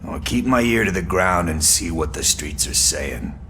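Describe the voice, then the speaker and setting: An actor playing a cocky, evasive, streetwise sidekick in a video game, glib and casual with a gravelly voice. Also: male voice, low voice, expressive, moderate pace, fairly bright tone, a little hiss or hum. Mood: wry, resigned.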